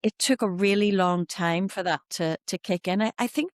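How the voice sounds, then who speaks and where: A woman's voice: mid-range voice, normal speed, no echo, studio quality. Belfast woman in her fifties, candid storyteller. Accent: Belfast Irish. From Northern Ireland. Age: 50s. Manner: candid, animated storytelling with quoted asides. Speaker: guest. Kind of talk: reflective interview conversation.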